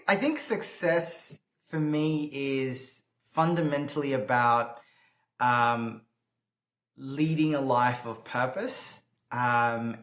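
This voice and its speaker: Thoughtful man pausing with ums, roomy sound. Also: medium pitch, fairly bright tone. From Australia. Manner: thoughtful, many filler pauses. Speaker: guest, impact investor. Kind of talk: casual interview answers. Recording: roomy echo.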